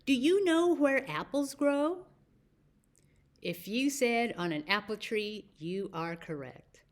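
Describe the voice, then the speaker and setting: A woman with an American accent hosting a children's story time, speaking deliberately as if to young listeners. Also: on the high side, animated. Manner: slow, deliberate phrasing with questions to young listeners. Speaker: library story time host.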